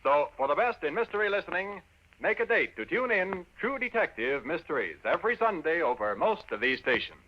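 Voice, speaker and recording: A man's voice: on the high side, very animated, a little fast, a little hiss or hum. A man reading scripted announcements in a formal, polished 1950s radio style. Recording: muffled vintage radio, music behind.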